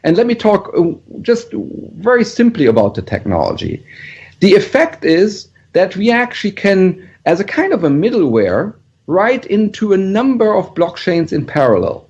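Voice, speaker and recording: A man's voice: sits high, sing-song, moderate pace, a little mellow, breathy edge, lo-fi sound. A man with a German accent, a startup founder explaining his work in a podcast interview, earnest and full of restarts. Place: San Mateo, California.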